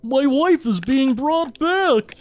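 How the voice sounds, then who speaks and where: A man's voice: extremely high pitch, animated, slow, heavily acted. A man voicing a vengeful, devoted talking bull in a melodramatic horror comedy audio drama. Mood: eager.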